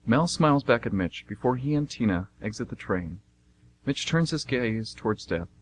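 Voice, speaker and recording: A man's voice: mid-range voice, lively intonation, moderate pace, a little hiss or hum, lightly acted. A man playing a solemn narrator, reading a suspenseful scripted amateur radio drama.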